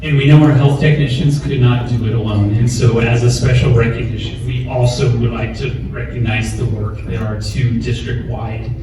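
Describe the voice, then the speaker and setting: A man's voice: medium pitch, level tone, moderate pace, few pauses. A man announcing employee awards in a formal speech at a school board meeting. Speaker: district administrator presenting awards. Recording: echoey room, distant mic, crowd and loud noise.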